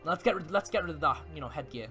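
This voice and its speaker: A young man with an excitable, giggly way of talking and stumbling over words, playing a card game in a casual roleplay. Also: a little high, fast talker, over music. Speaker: player in a card duel roleplay. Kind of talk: casual in-character gameplay commentary.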